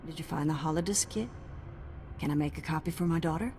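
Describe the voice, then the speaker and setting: A woman's voice: deep voice, quick pace, darkish tone, soft background music. An actress playing a savvy, plainspoken undercity mother in a video game, wry and easygoing. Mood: wry, approving. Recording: background ambient noise.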